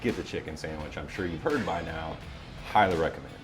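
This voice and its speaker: A man's voice: a bass voice, fairly quick, music in the background. A man who works in voiceover, chatting casually as an interview guest with a playful, wry manner. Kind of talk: casual chat.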